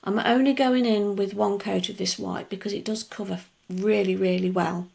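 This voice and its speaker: A middle-aged woman talking through a nail art tutorial in a chatty, rambling way, her voice gruff from just waking up. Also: mid-range voice, steady tone, normal speed, a little mellow. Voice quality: gruff, gravelly. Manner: chatty, rambling explanations with many asides. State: just woken up. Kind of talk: casual tutorial voice-over.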